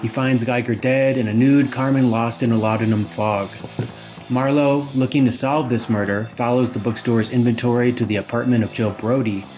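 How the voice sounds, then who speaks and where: A man reading a scripted plot summary smoothly, without fillers. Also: medium pitch, steady tone, normal speed, a little mellow, low-quality recording. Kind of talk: scripted narration. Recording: faint music behind.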